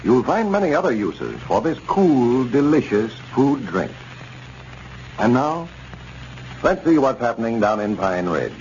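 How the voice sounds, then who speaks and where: Animated 1930s American radio announcer, man. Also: mid-range voice, measured pace. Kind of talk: 1930s radio announcing, scripted sponsor narration. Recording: dull, noisy 1930s radio recording.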